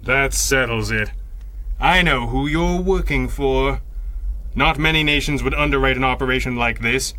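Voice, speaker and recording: An actor playing a coolly clever, authoritative masked crimefighter in a 1930s-style radio drama, speaking in a clipped, formal way. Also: male voice, mid-range voice, bright, forceful tone, rough recording.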